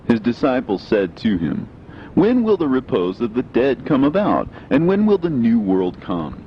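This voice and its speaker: A man giving a solemn, measured, declamatory scripted reading of scripture as a narrator. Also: wildly swooping pitch. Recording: dull lo-fi sound, faint rumbling noise.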